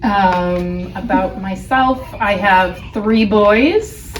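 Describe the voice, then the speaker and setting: A woman's voice: mid-range voice, drawn-out words, reverberant. Middle-aged American woman, teacher, casual interview answers, distant mic, faint noise. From New Hampshire, United States. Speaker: elementary school teacher being interviewed.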